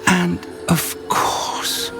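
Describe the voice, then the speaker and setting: An older wizard mentor who talks slowly and expressively, respectful yet urgent in tone. Game music plays clearly behind him. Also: male voice, high voice, huge pitch swings, exaggerated voice. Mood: awed. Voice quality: earnest, respectful, measured. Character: older male wizard professor, earnest mentor. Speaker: mentor professor.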